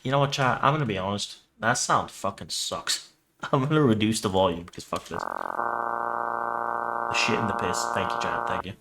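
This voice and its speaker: A man with a mid-pitched voice streams a casual reaction, with noticeable noise behind him. He reads captions out and riffs on them for his chat in an irreverent, sweary, joking manner. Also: big pitch swings, normal speed, creaky. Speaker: streamer reacting to horror videos. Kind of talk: casual livestream reaction, reading on-screen captions aloud.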